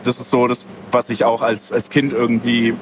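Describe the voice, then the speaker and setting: A man's voice: mid-range voice, level tone, moderate pace, noisy background. A man being interviewed as a comic creator, talking casually and thinking aloud in loose, rambling chains of clauses.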